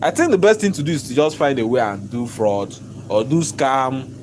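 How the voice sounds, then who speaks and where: A man, hoarse from losing his voice, ranting in a vlog from inside his own shop. He repeats himself emphatically, stumbles over his words and keeps breaking into laughter. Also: medium pitch, expressive, moderate pace, noisy background. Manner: repetitive, emphatic, stammering restarts, bursts of laughter. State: hoarse, lost voice. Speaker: shop owner vlogger.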